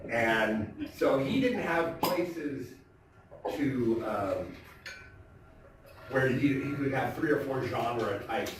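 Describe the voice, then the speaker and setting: A man's voice: mid-range voice, expressive, music in the background. Man chatting from an echoey stage. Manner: informal, chatty, halting. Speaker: stage performer hosting an evening of Poe readings. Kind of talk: casual introduction to a live audience. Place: theatre stage, live performance. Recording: very echoey theatre, distant mic, faint noise.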